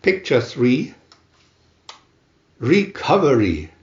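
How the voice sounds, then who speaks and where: A man reading a comic adventure booklet aloud as a dramatic narrator, announcing each part with theatrical pauses. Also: medium pitch, big pitch swings, roomy sound, muffled recording.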